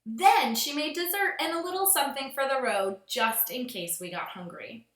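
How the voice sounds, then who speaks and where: A woman reading a children's storybook aloud as a schoolteacher would, with expressive storytelling, recorded in a roomy space at home. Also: high voice, normal speed.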